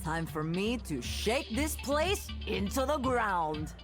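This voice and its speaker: A woman's voice: mid-range voice, big pitch swings, slow pace, over music. An actress playing a confident, friendly young female electronic musician in a video game.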